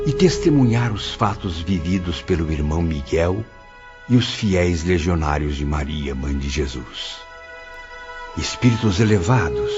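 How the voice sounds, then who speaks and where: A man playing a solemn spirit narrator confiding a grim tale, in a theatrical audio drama manner. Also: deep voice. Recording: dull audio with clear background music.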